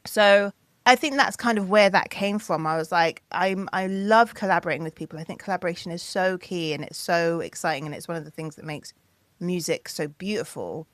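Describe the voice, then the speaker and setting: A woman with a low-pitched voice telling stories in a podcast interview, springing up high and loud whenever she acts out what others told her. Her voice sounds close and dry, with no room around it. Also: brisk, no echo. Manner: animated storytelling, acting out quotes in a raised voice. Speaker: singer, songwriter, DJ and producer.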